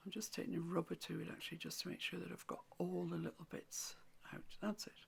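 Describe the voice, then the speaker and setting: A woman with a croaky voice, teaching a watercolour painting tutorial in soft, often half-whispered instructions. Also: fairly low pitch, fairly even intonation, fast. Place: home room with a mantelpiece.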